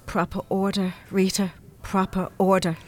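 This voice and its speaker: An actress playing a brisk, stingy, scornful grown daughter in a radio play, with an Irish accent and an emphatic delivery. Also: female voice, medium pitch, little pitch movement, close to an everyday voice. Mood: sympathetic, approving.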